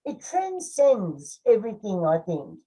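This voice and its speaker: Slow, mellow older woman. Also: mid-range voice, huge pitch swings, rough recording. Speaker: podcast co-host. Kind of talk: casual conversation.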